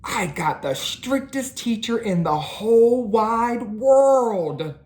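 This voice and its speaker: A woman's voice: on the high side, lively intonation, slow pace, darkish tone, pitched above the performer's own voice. A woman voicing a whiny, complaining young schoolboy in a storybook read-aloud. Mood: grumbling. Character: young schoolboy, grumbling and put-upon.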